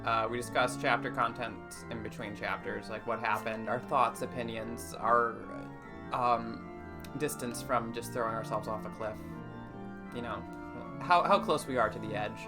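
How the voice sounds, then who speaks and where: A young man talks casually as the host of a livestream. His pitch is average and his delivery is wry, with playful mock grandeur. Music plays clearly behind his voice. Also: steady tone, normal speed.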